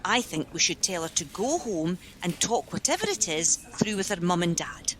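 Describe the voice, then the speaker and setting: A woman's voice: lowish voice, very animated, normal speed, a little hiss or hum. A woman playing a flustered, well-meaning helpline office worker in a naturalistic radio play, speaking hesitantly. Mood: confiding.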